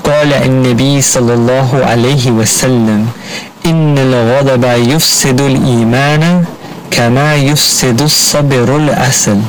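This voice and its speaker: A man's voice: mid-range voice, fairly even intonation, fast, a little hiss or hum, poor audio. A man delivering a short religious recitation and reminder.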